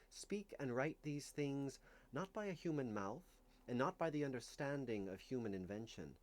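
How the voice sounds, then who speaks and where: Male reader, mid-pitched voice, solemn and declamatory, for a dramatic reading of sacred visionary text. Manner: solemn, declamatory, deliberate phrasing with dramatic emphasis.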